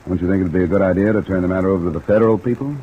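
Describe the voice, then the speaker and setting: Male actor as hardboiled American radio sleuth, deep and understated, dryly wry, on dull old broadcast audio with rainfall. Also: rapid-fire, a little hiss or hum, close to an everyday voice. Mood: dry, guarded. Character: cool, wry freelance insurance investigator, a man. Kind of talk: 1950s American radio detective acting and first-person narration. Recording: muffled vintage radio recording, rain behind.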